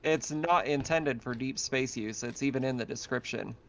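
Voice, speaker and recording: A man's voice: medium pitch, moderate pace, background noise. A man casually narrating an online tabletop roleplaying game as game master. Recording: dull lo-fi online voice chat.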